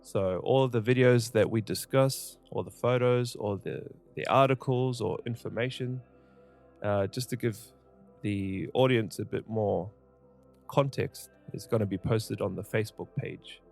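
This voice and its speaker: Male podcast host, low pitch, gravelly, earnest and halting, faint music behind. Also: a little mellow. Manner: earnest, hesitant, many fillers. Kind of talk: casual podcast intro and interview.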